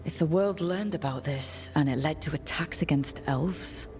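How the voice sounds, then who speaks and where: A calm, reasonable female hero played by a woman in a fantasy game, with a low voice for a woman. She sounds thoughtful and reassuring, with light music underneath. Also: normal speed, lightly acted. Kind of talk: video game dialogue acting.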